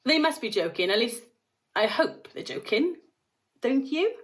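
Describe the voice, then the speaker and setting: A woman voicing a kindly old grandfather in a storybook, speaking in a gently reassuring way. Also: on the high side, sing-song, a little slow.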